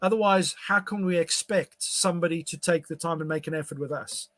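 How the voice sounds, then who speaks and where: A man's voice: a little high, a little fast, fairly bright tone. A man from South Africa, whose first language is Afrikaans, talking casually with many fillers and restarts. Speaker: podcast guest. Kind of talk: casual conversation.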